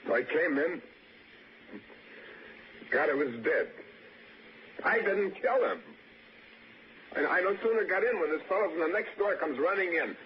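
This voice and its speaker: Nervous, high-voiced young suspect, muffled radio. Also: male voice, lively intonation, a little slow, pauses often. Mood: pleading, anxious. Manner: hesitant, stammering. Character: nervous young male murder suspect, defensive and pleading. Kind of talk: 1960s radio drama acting. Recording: dull, faintly echoing, noisy old radio recording.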